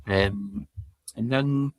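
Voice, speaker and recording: A man talking casually as a podcast guest in a hesitant, halting way. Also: lowish voice, dry recording, studio quality. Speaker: podcast guest, audio mixing engineer. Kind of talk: casual chat.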